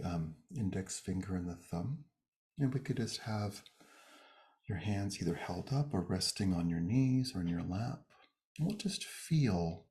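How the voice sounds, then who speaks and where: A man leading a guided meditation and prayer, speaking gently and unhurriedly in short phrases with pauses between them. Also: fairly low pitch.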